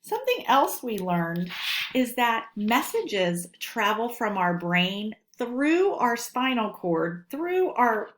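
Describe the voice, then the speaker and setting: A woman teacher reading a lesson aloud to children, speaking deliberately and instructively and stressing key words. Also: mid-range voice. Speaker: elementary school teacher.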